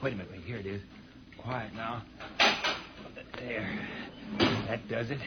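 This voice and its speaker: A wisecracking, folksy sidekick with a grumbling streak. It is a vintage radio serial recording, muffled and very noisy. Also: male voice, lowish voice, fairly even intonation, slow pace. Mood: worried, hushed. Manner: wry, folksy, complaining. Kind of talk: 1950s radio serial acting.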